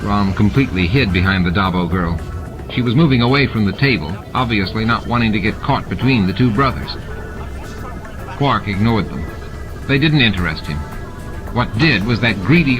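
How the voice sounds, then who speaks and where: A man's voice: fairly low pitch, lively intonation, normal speed, rough recording. A man narrating fiction from a script as an audiobook reader. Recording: music and sound effects behind the voice. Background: added music and sound effects.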